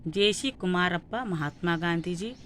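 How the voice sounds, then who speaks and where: A woman's voice: fairly low pitch, a little slow, a bit bright, slight background noise. A woman with a North Karnataka accent reading out exam questions and answers like a teacher, item by item. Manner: instructional, listing answers item by item.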